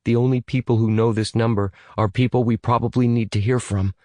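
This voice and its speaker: A man's voice: medium pitch, fairly even intonation, fairly quick, a little mellow, no echo, voice pushed up, close to an everyday voice. A man voicing a young male computer geek who talks casually, directly and eagerly in an audiobook story. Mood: practical.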